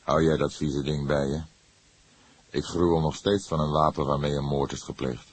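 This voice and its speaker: A man plays an older police detective in an audiobook with a low, raspy voice. He is calm and wry, lecturing without hurry and with a note of disapproval. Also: normal speed, dry recording. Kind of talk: audiobook character voice.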